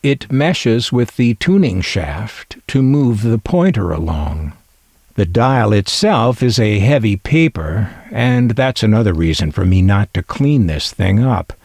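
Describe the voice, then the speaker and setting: A man's voice: on the low side, sing-song, unhurried, creaky, darkish tone, no room sound. An older man narrating a scripted hobby video as a collector, musing aloud with dry, wry humor. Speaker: collector presenting vintage radio finds.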